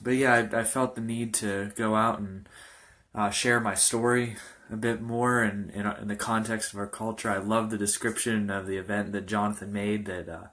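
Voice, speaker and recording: Young male pastor, halting earnest talk. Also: fairly low pitch, little pitch movement, fairly bright tone. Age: young adult. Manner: halting, many fillers and restarts; earnest, informal. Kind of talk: personal testimony talk.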